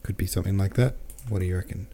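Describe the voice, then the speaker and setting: A man's voice: low voice, very fast, mellow. A man hosting a book podcast, thinking aloud about a chapter, reading out listener comments and then reading the novel aloud. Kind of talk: casual book-club talk and reading aloud.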